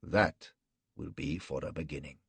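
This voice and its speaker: A man doing a cold, cruel warlord in a storybook reading. The voice is very deep and gravelly, husky at the edges, and it unspools its threats slowly and gloatingly. The sound is dry and close. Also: a bit bright, no echo, heavily acted. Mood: menacing. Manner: slow, gloating, measured threats. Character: menacing cruel male warlord, cold and mocking. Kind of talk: audiobook character reading.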